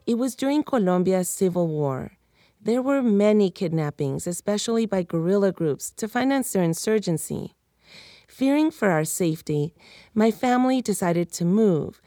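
A woman's voice: mid-range voice, expressive, normal speed, mellow, no room sound, clean studio sound. Woman telling her story. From United States (Miami). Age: 30s. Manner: candid, personal storytelling. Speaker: reporter. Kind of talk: scripted podcast narration.

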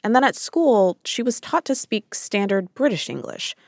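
An American woman hosting a podcast, narrating in a calm, clear way. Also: a little high, moderate pace, dry recording, studio quality. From United States. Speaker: podcast host. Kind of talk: scripted narration.

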